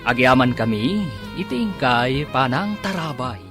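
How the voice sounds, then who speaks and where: Mid-pitched man, Ilocano accent, theatrical scripted narration, very animated, loud background music. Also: measured pace. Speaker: radio drama announcer and narrator. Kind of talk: dramatic scripted radio serial narration. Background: musical score.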